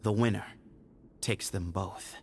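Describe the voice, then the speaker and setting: A man's voice: low voice, steady tone, slow, a little mellow, soft background music. A man playing a composed, pragmatic young temple heir in a video game, speaking formally and with assurance. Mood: firm, formal.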